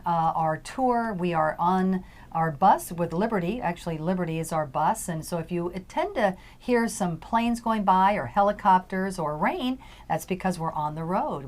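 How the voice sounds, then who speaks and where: A woman hosting a talk radio interview from a tour bus, gushing and rambling excitedly. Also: on the low side. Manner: gushing, rambling, with many fillers. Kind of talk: casual radio interview chat.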